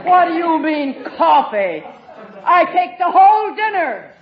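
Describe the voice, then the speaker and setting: An actress playing a pompous, indignant old great-aunt in a 1950s radio sitcom, bossy and blustering. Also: female voice, very high voice, very animated, a little slow, a loud crowd, full character voice. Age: older. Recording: dull, roomy old radio recording, audience.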